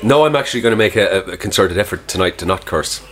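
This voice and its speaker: A man's voice: low-pitched, lively intonation, quick pace, a bit bright. A man co-hosting a pub podcast in a laid-back, jokey manner. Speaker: podcast co-host. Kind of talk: casual pub chat.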